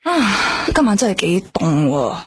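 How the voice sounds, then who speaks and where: A woman's voice: mid-range voice, sing-song, a little slow, noisy background. A woman playing a caring, curious young woman recovering from a heart transplant in a Cantonese radio drama, speaking with a Hong Kong Cantonese accent.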